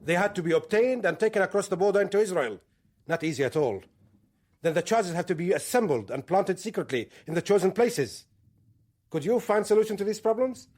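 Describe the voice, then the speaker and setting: An actor playing a smugly menacing militant leader in a radio drama, authoritative, probing and condescending. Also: male voice, high-pitched, lively intonation, a little fast, darkish tone. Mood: smug, boastful.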